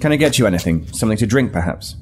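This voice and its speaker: An actor playing a weary but resolute military starship captain in an audio drama, measured, formal and authoritative. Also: male voice, on the low side, lively intonation, background noise, close to an everyday voice. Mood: formal, direct.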